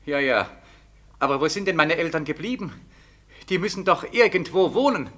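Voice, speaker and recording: An actor playing a bewildered, desperate soldier home from Siberia in a 1940s German radio drama, with a plaintive, insistent delivery. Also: male voice, on the high side, lively intonation, normal speed, fairly bright tone. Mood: anxious. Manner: insistent, repetitive questioning.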